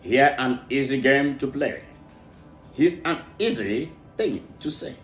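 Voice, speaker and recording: Man, Nigerian Igbo accent, halting storybook reading, repeats and stumbles, echoey room, faint music behind. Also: mid-range voice, animated. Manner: stumbling over words, repeating them. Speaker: English pronunciation learner. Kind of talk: halting read-aloud of a tongue-twister storybook.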